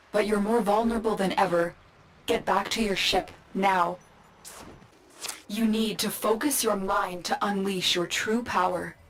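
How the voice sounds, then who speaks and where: Mentor guiding a player through a game mission, urgent, faint noise. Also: female voice, medium pitch, fairly bright tone, poor audio. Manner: urgent instructions. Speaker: mission guide.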